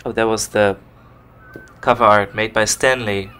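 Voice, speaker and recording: A man's voice: lowish voice, fairly even intonation, unhurried, pushed and bright, slight background noise. A man with a Dutch accent, rambling casually and searching for words while commentating his own game. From Netherlands. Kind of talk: casual let's play commentary. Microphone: desk microphone recorded on computer. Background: game music.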